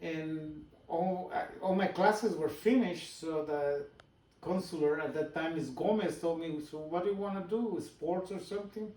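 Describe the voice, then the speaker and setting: Older Guatemalan immigrant man, Spanish accent, light voice, pauses a lot, distant roomy recording. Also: on the high side, darkish tone. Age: 60s. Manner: halting, personal storytelling with pauses and restarts. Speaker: business owner guest. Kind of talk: casual interview.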